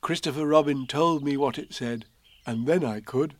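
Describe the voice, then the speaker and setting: A man's voice: a little high, normal speed, no room sound, lightly acted. A man voicing a humble, childlike bear in a children's story, speaking simply and earnestly. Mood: modest, impressed.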